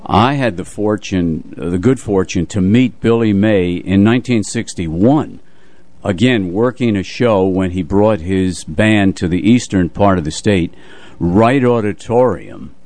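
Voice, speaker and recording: Radio veteran, male, 70s, very deep register, recalls old days in halting, unscripted phrases. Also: a little mellow. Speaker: radio show co-host, veteran broadcaster. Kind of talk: unscripted reminiscing on a radio talk show.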